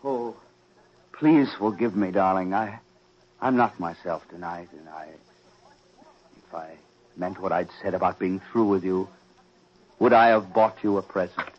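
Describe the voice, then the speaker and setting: An actor playing a smooth, heartless suitor who coaxes and dismisses in 1940s radio drama style. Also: male voice, deep voice, measured pace, pauses often. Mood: coaxing, tender. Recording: muffled, noisy old radio recording.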